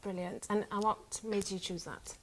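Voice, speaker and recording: A woman's voice: mid-range voice, steady tone, moderate pace, slight background noise, rough recording. A woman hosting a live online show, upbeat and encouraging as she introduces guests and asks them questions. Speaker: host. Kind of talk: presenting a live stream show.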